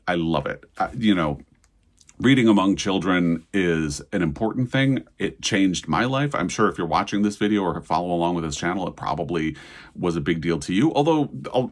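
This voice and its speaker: Guy with a pretty low voice doing casual video commentary, chatty and into it. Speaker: host of a book news video channel.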